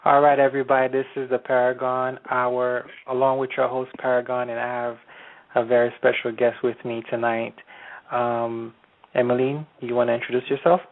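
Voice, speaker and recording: A man hosting an internet radio show, introducing his guest in a hesitant, casual way with many pauses. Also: mid-range voice, level tone. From Haiti. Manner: hesitant, many ums and restarts. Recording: tinny phone-line audio.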